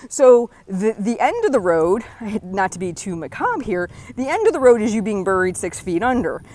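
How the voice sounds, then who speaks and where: A woman in her forties hosting a podcast, talking earnestly and encouragingly straight to the listener, often checking in with a quick "right?". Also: mid-range voice, big pitch swings, darkish tone. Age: 40s. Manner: earnest, encouraging, direct address with frequent "right?". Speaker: podcast host. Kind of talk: motivational solo talk.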